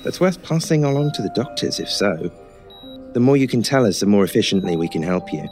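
An actor playing a polite, wordy, gently joking male hospital nurse in an old-time radio style horror drama, with a low voice. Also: very animated, brisk, faint music behind. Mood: calm, reassuring.

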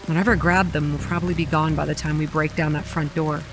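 A woman's voice: low voice, little pitch movement, quick pace. A young woman tells a horror story in the first person, as a campground manager on edge. Her delivery is wry and given to sardonic understatement, with music behind her. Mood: wry, dry. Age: young adult. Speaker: narrator and lead character. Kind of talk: first-person horror audio drama narration. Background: rain and music.

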